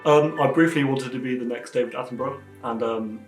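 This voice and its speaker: A twenty-year-old student from southwest London giving casual interview answers. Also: male voice, mid-range voice, brisk, soft background music. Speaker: university student. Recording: echoey room.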